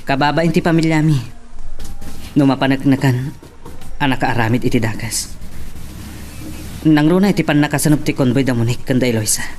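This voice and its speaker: A man's voice: medium pitch, fairly quick, pauses often, darkish tone, faint music behind, lightly acted. A man playing a troubled, earnest son of a powerful family in a radio-style drama.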